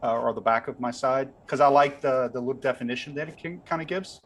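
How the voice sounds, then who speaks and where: A man with a mid-pitched voice talks through a technical explanation in an informal way. He hedges and keeps it conversational, like a webinar panelist at home, with noticeable noise behind him. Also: fast. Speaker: panelist on lighting gear. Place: room at home.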